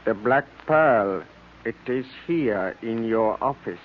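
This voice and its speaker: Slow, formal cult emissary. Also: male voice, mid-range voice, lively intonation. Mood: suspicious, pressing. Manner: stilted formal phrasing, deliberate. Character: formal, insistent male agent of an Egyptian cult. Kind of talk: 1940s radio acting. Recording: tinny narrowband old radio recording.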